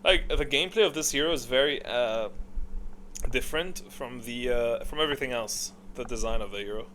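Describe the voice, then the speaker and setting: A man's voice: medium pitch, a little fast, bright, forceful tone, slight background noise. A man giving blunt, casual, rambling commentary on game characters, thinking aloud and second-guessing himself. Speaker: gaming content creator.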